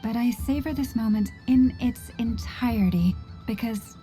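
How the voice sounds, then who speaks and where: A woman voicing a demure, sincere, gentle young woman in a video game, soft, breathy and earnest. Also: fairly high pitch, measured pace, faint music behind, lightly acted. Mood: touched, sincere.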